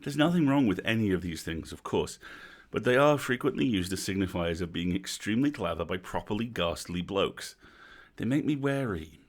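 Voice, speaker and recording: A man's voice: sits low, expressive, normal speed. A British man with a wry, dry delivery, hosting a stream and reading a written review aloud with casual asides. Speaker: host of a livestream reading series.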